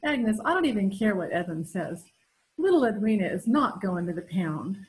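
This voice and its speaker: A woman's voice: medium pitch, very animated, moderate pace, close to an everyday voice, poor audio. An older woman playing a gushing, fretful, stubborn wife in an old-time radio comedy, recorded at home over a video call. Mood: determined. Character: doting, excitable, stubborn wife smitten with puppy.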